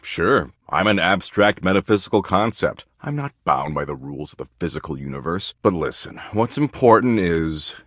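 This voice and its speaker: A man's voice: sits low, big pitch swings, moderate pace, few pauses, fairly bright tone, dry recording, clean studio sound, heavily acted. A man voicing a video game character, a snide, slangy slacker embodiment of existential dread with bored condescension. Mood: cocky, condescending. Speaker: datable character in a dating simulator game. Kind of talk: scripted video game voice acting.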